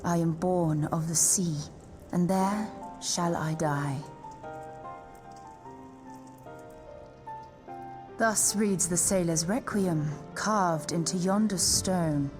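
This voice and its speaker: A woman playing a calm, courteous, mysterious investigator in a fantasy game, speaking in formal archaic, pensive phrases. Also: on the low side, slow. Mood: solemn. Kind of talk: fantasy game cutscene acting. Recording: music under the voice.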